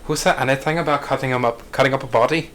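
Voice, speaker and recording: A man acting a commanding, foul-mouthed male crime boss with a gruff, authoritative way of talking. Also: medium pitch, fast, fairly bright tone, slight background noise, close to an everyday voice. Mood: controlled. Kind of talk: script table read.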